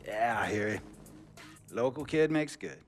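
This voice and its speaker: A man's voice: medium pitch, measured pace, creaky, faint music behind. A man playing a chatty, wealthy basketball team owner in a video game cutscene, rambling in a folksy, self-absorbed way. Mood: chummy.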